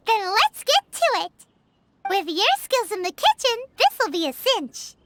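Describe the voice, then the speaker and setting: Eager little game fairy: childlike, squeaky, very high and swoopy, exclamatory. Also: female voice, wildly swooping pitch, slow pace, noisy background, heavily acted. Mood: eager, excited. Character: tiny excitable childlike food-loving fairy companion. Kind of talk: video game character acting.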